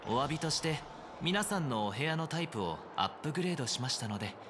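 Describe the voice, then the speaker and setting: A man's voice: a little high, expressive, fast talker, a bit bright. An actor playing a composed, courteous male hotel host in a Japanese video game, formal and very polite.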